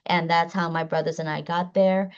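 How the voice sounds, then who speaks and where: A woman's voice: lowish voice, little pitch movement, normal speed, clear, resonant tone. A woman law professor who grew up in the Northern Mariana Islands, introducing herself earnestly with frequent "um" fillers over a video call. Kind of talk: self-introduction on a panel. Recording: dull, lo-fi call audio.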